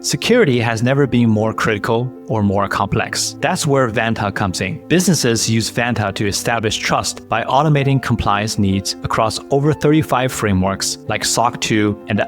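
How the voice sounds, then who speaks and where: A man hosting a podcast, speaking in an informal, conversational way. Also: medium pitch. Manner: informal, peppers speech with "like". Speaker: podcast host. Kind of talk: casual interview chat.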